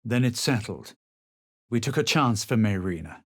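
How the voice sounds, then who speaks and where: An actor playing a haughty, self-assured male adventurer in a fantasy video game, with a gravelly, husky voice and a theatrical manner. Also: lowish voice, animated, moderate pace. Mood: cool, assured. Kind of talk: video game character voice lines and spell incantations.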